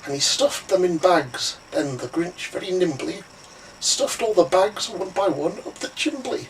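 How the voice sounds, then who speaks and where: Animated man reading a storybook, roomy sound. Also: sits high, measured pace. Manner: dramatic, theatrical. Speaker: story reader. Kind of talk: rhymed storybook narration. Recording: roomy, distant, faintly noisy recording.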